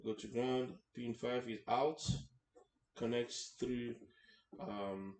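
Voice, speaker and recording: A man teaching a hands-on technical tutorial in an informal way, haltingly, often repeating and rephrasing his words. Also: medium pitch, fairly even intonation, darkish tone. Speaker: instructor hosting an electronics tutorial series. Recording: echoey room, faint background noise.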